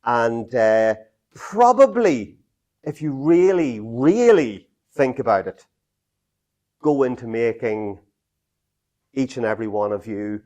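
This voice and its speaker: A middle-aged Irish man giving an unscripted talk, halting with many fillers and restarts, in a small meeting room heard from a camera some distance away. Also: medium pitch, animated, mellow. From Ireland. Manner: halting, many fillers and restarts, gestures a lot while talking.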